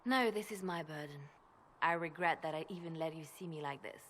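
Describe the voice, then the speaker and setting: A woman voicing a grave, guarded and devout spymaster in a video game, measured and brooding. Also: low-pitched, a bit bright, a little hiss or hum. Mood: curt, closed off. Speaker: spymaster with agents. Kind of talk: dramatic video game voice acting.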